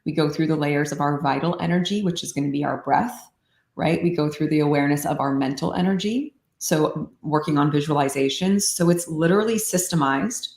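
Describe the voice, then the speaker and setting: A woman explaining meditation practice in a calm, unhurried way, often saying "like", in casual podcast conversation. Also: deep voice, echoey room. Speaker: meditation teacher.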